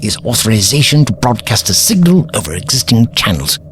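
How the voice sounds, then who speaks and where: An actor playing an eccentric, self-assured older time traveller in an audio drama, with pompous, precise, formal diction. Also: male voice, on the low side, big pitch swings, brisk, slight background noise. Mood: grave, explanatory.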